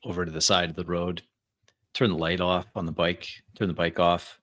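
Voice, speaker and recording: Deep, bright-edged man in roleplay. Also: level tone, normal speed, no echo, clean studio sound. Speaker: roleplay game player. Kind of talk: in-character tabletop roleplay, narrating own actions.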